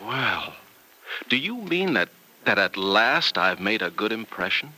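Earnest lovestruck doctor, old radio soap, lowish super bright voice. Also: male voice, big pitch swings, unhurried, exaggerated voice, rough recording. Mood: hopeful. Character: eager lovestruck male hospital doctor, gushing and earnest. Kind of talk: vintage radio serial acting.

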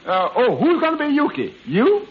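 Droll waiter, high lively voice. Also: male voice, moderate pace, breathy edge, exaggerated voice. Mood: skeptical, dry. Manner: dry, folksy, questioning. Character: droll, skeptical male waiter, unimpressed by his boss. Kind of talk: 1940s radio comedy acting. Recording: muffled old radio, light hiss.

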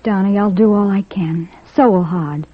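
A woman's voice: mid-range voice, moderate pace, slightly rough, lightly acted. An actress playing an earnest, dutiful young welfare woman in 1940s radio style, with an emotional manner. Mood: gentle. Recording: noisy, narrow-band 1940s radio recording.

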